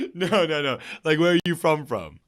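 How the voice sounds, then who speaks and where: A man playing a brash, over-eager young male podcast host, loud and pitched up. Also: exaggerated voice.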